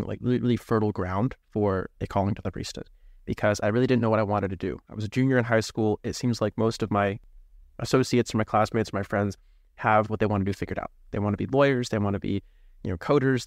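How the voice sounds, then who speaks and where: A man's voice: lowish voice, gravelly, darkish tone, no room sound, clean studio sound. A man in his twenties, a seminarian guest, talking reflectively and hesitantly in an interview, often searching for words. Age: 20s.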